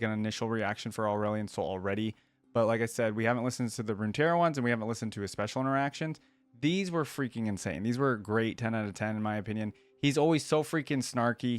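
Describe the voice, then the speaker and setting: A man's voice: mid-range voice, no room sound. A man hosting a reaction video, talking casually in an enthusiastic, slangy fan style.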